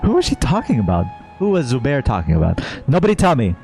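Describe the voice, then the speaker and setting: A man's voice: medium pitch, wildly swooping pitch, fast talker, vocal fry, mellow, faint music behind. A man streaming a game with casual, playful live reaction commentary, chatting to his viewers. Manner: playful, chatty, talks to chat.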